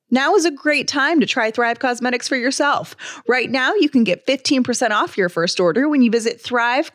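A woman's voice: fairly high pitch, fairly bright tone, no room sound, studio quality. A woman hosting a podcast, reading a sponsor ad in a chatty, persuasive, conversational way. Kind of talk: conversational ad read.

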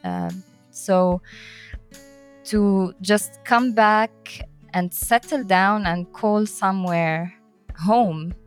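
Slow-paced, halting woman being interviewed. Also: mid-range voice, fairly even intonation, clear, resonant tone, soft background music. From Middle East and North Africa. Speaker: interview guest, environmental peace-building director. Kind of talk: reflective personal interview.

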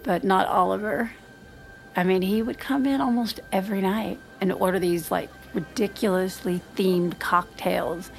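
A reserved married mother in a naturalistic audio drama. Her voice carries a frequent fry and an unhurried pace, and she speaks haltingly, as if admitting things she would rather keep private. Faint music sits behind her. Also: mid-range voice, rough recording. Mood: wry, embarrassed. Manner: hesitant, self-deprecating, halting. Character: reserved married mother, confiding secrets over drinks.

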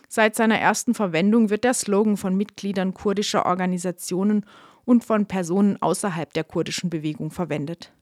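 A woman reading a scripted news report in an even, informative way. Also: mid-range voice, brisk, no room sound, studio quality. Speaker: reporter narrator. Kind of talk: scripted narration.

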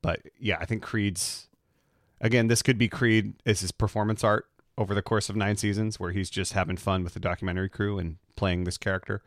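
A man's voice: lowish voice, level tone, fairly quick, no room sound, studio quality. A man co-hosting a podcast in casual conversation, musing in a rambling way. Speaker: podcast co-host. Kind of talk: casual chat.

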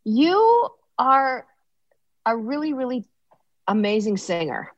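A woman's voice: fairly high pitch, sing-song, slow, dry recording, lo-fi sound. A woman hosting an interview, chatting and rambling into stories with her guest. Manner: chatty, warm-hearted, rambling storyteller. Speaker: podcast host. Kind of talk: casual interview chat.

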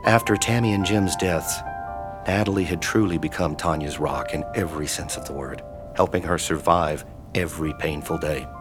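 A man acting as the measured, sombre narrator of a scripted audio drama, telling the story in the third person. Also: very deep voice, music in the background.

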